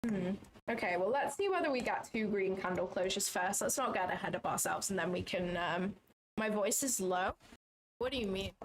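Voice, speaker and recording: A woman's voice: mid-range voice, lively intonation, moderate pace, a little hiss or hum. A woman hosting a trading livestream from her office, chatting casually, thinking aloud, second-guessing herself and swearing now and then. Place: small office.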